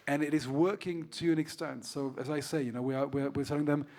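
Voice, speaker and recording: A man's voice: a little high, fairly even intonation, fast talker, darkish tone. A man who grew up in Vienna, speaking frankly on a conference panel as a solar association representative, often restarting his sentences. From Austria. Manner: frank, many restarts and repeated words. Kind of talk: panel discussion.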